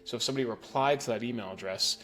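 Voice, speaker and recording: Casual American-accented man walking through software. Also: mid-range voice, rapid-fire, soft background music. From New Zealand. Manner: casual step-by-step explaining with frequent "okay?" and "right?". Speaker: email marketing instructor. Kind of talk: screen-recorded software tutorial.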